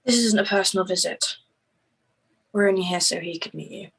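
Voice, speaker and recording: A woman's voice: on the low side, a little fast, creaky, fairly bright tone, breathy edge, exaggerated voice, low-quality recording. An actress playing an exasperated, sarcastic, foul-mouthed woman in a screenplay table read. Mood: annoyed. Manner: sarcastic, cutting.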